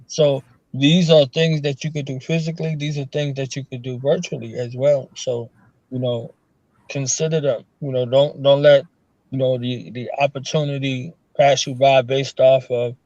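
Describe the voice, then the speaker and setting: A man hosts the interview in an encouraging voice, keeps checking in with his guest, and lands his points at a moderate pitch. His sound is muffled and lo-fi, with no room around it at all. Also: fairly even intonation, normal speed, a little mellow, dry recording. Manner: encouraging, peppers speech with "you see what I'm saying". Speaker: interview host. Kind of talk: conversational interview.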